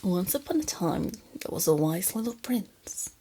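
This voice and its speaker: A woman voicing a female lamia captor in an intimate ASMR roleplay, soft and often whispery, teasing and coaxing, with condescending sweetness and a quiet menace. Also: mid-range voice, sing-song, measured pace, slightly rough, exaggerated voice. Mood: storytelling, soft menace.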